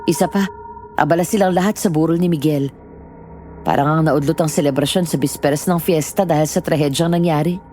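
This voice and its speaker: A woman's voice: low voice, a little fast, mellow, lightly acted. An actress playing a young woman who narrates her own frightening ordeal in a first-person horror story. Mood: rueful. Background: music.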